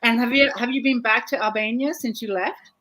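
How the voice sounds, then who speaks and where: A woman's voice: a little high, a little fast, pushed and bright, poor audio. A woman from England hosting a live author chat, chatty and welcoming, greeting viewers by name. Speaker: host. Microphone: video call.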